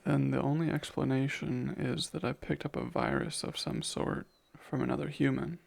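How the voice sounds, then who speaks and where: A man playing a gentle, protective vampire caretaker in a soft ASMR roleplay, soothing and a little hesitant, with formal wording. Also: medium pitch, very animated, moderate pace, gravelly, full character voice. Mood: gentle, reflective.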